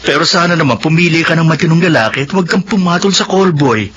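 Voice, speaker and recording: A man with a Filipino accent acting a stern, indignant young doctor who scolds forcefully, in a radio drama. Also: fairly high pitch, fast, highs cut off, natural voice. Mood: reproachful, stern. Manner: forceful, reproachful.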